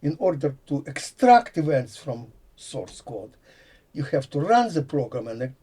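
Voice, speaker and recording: Older Latvian-accented man explaining slowly. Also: on the high side, very animated, mellow, husky. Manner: drops articles, thinks aloud with pauses. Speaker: guest, computer science professor. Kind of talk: explanatory conversation.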